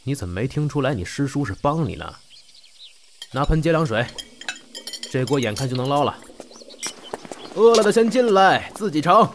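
A man acting an unhurried, fatherly middle-aged crosstalk master in an audio drama. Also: on the high side, big pitch swings, slight background noise. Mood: instructing. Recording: voice over prominent background music.

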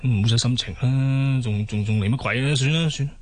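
An actor playing a sheepish, exasperated young husband in a Cantonese radio drama, stammering and sighing with a Hong Kong accent. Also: male voice, medium pitch, normal speed, a little mellow, natural voice. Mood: deflated. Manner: stammering, sighing, repeats himself.

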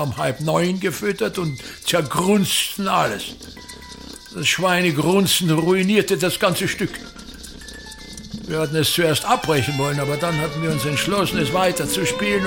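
An actor playing a pompous aging theatre director in a radio drama, speaking in a grandiloquent, complaining monologue. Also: male voice, a little high, vocal fry, fairly bright tone.

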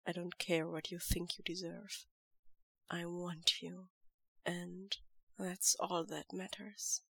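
Woman doing a reserved young man, hushed and tender, slow gravelly delivery, bright edge, dry close sound, storybook reading. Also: level tone, dry recording, exaggerated voice. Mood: quiet tender. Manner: low, hushed and measured.

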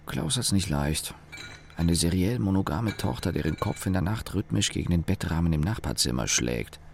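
A disgruntled office engineer and sardonic narrator in a radio drama. His voice is low and gravelly with lively intonation, and he recites grievances with dry cynicism. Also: moderate pace, background noise. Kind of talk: German radio play dialogue and monologue.

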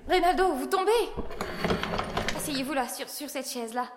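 An actress playing a bold, quick-witted woman in an audio drama, composed, sardonic and commanding. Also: high-pitched, background noise, lightly acted. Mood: brisk concern. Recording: echoey long reverb with music.

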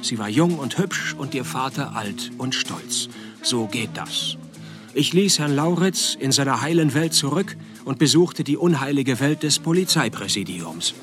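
An actor playing a laconic, sardonic private detective who narrates and leads a radio play with deadpan wit. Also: male voice, medium pitch, unhurried, soft background music. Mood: wry, deadpan. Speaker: narrator and lead.

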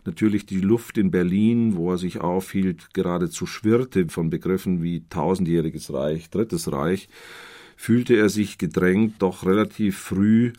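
A man giving explanatory literary commentary in deliberate, halting, formal phrases. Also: low voice, mellow.

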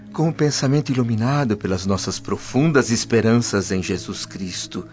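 An actor playing a devout, tender and anxious father, a Roman freedman, in an earnest radio drama style. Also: lowish voice. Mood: earnest, worried. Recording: muffled lo-fi audio with music behind.

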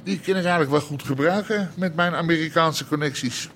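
A boastful gangster boss with a bright, forceful voice. He talks bluff and coarse, swearing and grunting, jovial underneath. Faint noise sits behind him in this radio drama. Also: on the high side, a little fast. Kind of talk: naturalistic radio drama acting.

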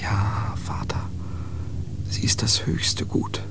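A whispering, anguished believer. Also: male voice, on the low side, expressive, exaggerated voice. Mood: pleading. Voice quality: hoarse whisper, creaky. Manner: pleading, earnest, halting. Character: anguished devout man questioning church cruelty. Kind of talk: radio drama acting.

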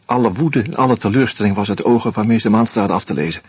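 Casting: a sardonic, boozy narrator in a radio play, lowish voice, very breathy-husky tone. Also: male voice, a little mellow. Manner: slow, sardonic, confronting. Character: drunk bitter man exposing a murderer. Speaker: Hans Troebo, narrator. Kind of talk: first-person radio drama narration.

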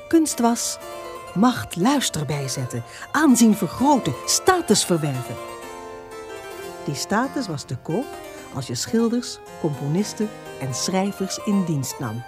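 A woman narrating a historical radio drama in a scripted, explanatory storytelling manner. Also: mid-range voice.

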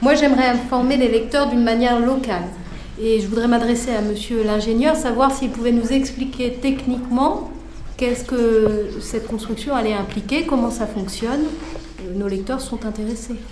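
Woman acting insistent local journalist, polite, questioning, fairly high pitch, roomy echo, radio fiction. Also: fairly even intonation, moderate pace, darkish tone, a little hiss or hum, close to an everyday voice. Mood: polite, inquiring. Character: polite, insistent female local newspaper reporter. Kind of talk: improvised mock press conference for radio fiction. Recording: echoey room.

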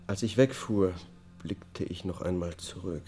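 An actor playing a haunted, detached murder defendant confessing in a radio drama. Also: male voice, sits low, a little slow, warm tone, faint music behind. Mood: subdued, haunted. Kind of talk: German public radio drama acting, confessional testimony.

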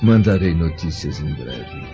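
A man's voice: very deep voice, animated, unhurried, creaky, exaggerated voice. An actor playing a dignified, loyal, aging Roman senator and caring father in a radio drama, solemn and courtly. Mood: reassuring. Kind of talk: formal radio drama acting. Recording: dull lo-fi recording, music behind.

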